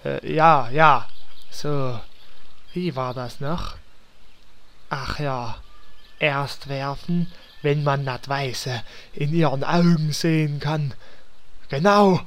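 Whiny Berlin paladin, slow and high. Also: male voice, fairly bright tone. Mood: determined. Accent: Berlin German. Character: hapless whiny male paladin, stubborn, self-pitying. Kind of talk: comedy fantasy audio drama acting.

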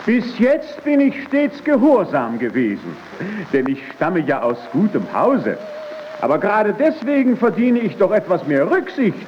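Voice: medium pitch, a little hiss or hum. An actor playing a cheeky, boastful gramophone, declaiming its own praises like a showman on an early promotional record. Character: boastful, cheeky gramophone proud of its good breeding. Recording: dull old gramophone record with music.

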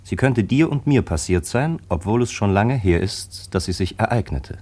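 A sober radio-play narrator, with a fairly low voice. He comments and explains in a matter-of-fact way, as if guiding listeners through an old story. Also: expressive, normal speed, a little mellow.

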